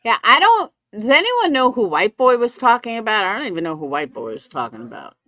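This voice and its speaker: A woman's voice: on the high side, very animated, a little fast, pushed and bright, dry recording, clean studio sound. A woman giving casual live commentary, blunt and gossipy, with plenty of swearing. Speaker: commentary streamer.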